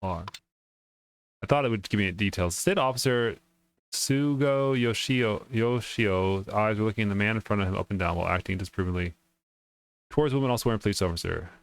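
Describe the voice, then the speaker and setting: A man's voice: on the low side, brisk, smooth. A man playing a game, reading its text aloud and breaking off for informal asides as he comments. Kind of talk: let's play commentary with dialogue read aloud. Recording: music behind the voice.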